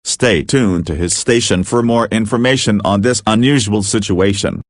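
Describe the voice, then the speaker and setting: A man's voice: fairly low pitch, moderate pace, no echo, studio quality. A man narrating a scripted mock emergency broadcast as an announcer, matter-of-fact with casual asides.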